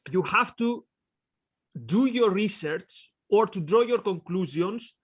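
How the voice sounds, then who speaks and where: Greek-accented man, fairly high voice. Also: a bit bright. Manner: reads quotes, talks in short chunks with pauses. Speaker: co-host. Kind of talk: casual discussion.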